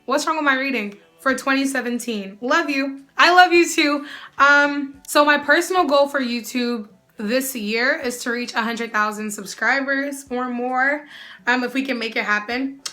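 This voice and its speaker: Bright, sassy young woman. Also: high voice, normal speed, soft background music. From United States. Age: young adult. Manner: sassy, animated, often calls the viewer "girl". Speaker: YouTuber answering viewer questions. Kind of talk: casual Q&A vlog.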